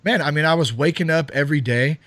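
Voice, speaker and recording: A man's voice: fairly high pitch, fast talker, a bit bright, no room sound. Dry-sounding man in his thirties, rambling. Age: 30s. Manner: rambling, loose, swears freely, many fillers. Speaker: podcast host. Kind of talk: casual podcast conversation.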